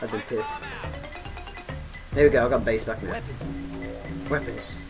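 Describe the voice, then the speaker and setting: A young British man in casual gaming banter, excitable and quick to exclaim. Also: mid-range voice. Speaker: gamer. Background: video game music.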